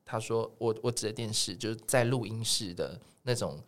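A man's voice: lowish voice, moderate pace. A man with a Taiwanese Mandarin accent telling personal stories in casual podcast chat, earnest and a little self-deprecating. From Yilan, Taiwan. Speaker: podcast guest, music producer.

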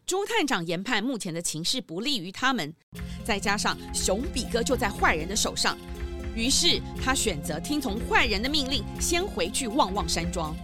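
A woman's voice: mid-range voice, very animated, normal speed, music in the background. A woman narrating a scripted children's detective story.

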